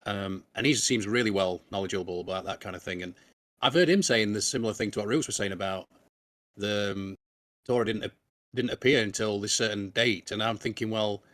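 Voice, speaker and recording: A man's voice: on the low side, brisk, fairly bright tone, dry recording, clean studio sound. A man in a casual discussion, tentative and asking questions.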